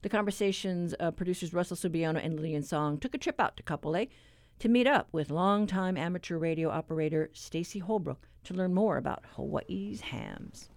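A woman's voice: on the low side, fairly quick, a little mellow, dry recording. A woman hosting a radio program, reading a scripted introduction to a segment. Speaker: radio show host.

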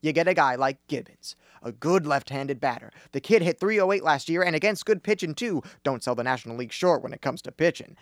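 A man plays a garrulous baseball manager and barkeeper in a radio comedy. His voice sits fairly high and swings widely in pitch. He rambles in a folksy, wry, colloquial way, recorded dry. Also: lively intonation, a little fast, few pauses, no room sound, lightly acted. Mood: rambling, stalling. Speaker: student radio actor. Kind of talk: comedic radio play acting.

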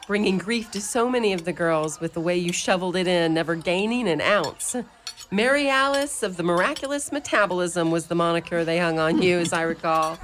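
Woman playing a brisk, motherly mother superior. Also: on the low side, a little hiss or hum, natural voice. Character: elderly mother superior, woman, stern yet tender. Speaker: community radio play actor. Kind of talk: community radio play acting.

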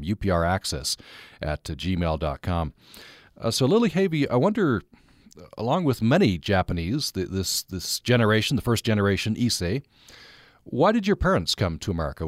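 A man hosting a radio interview, speaking thoughtfully with frequent pauses. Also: lowish voice, no room sound, studio quality. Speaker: radio show host.

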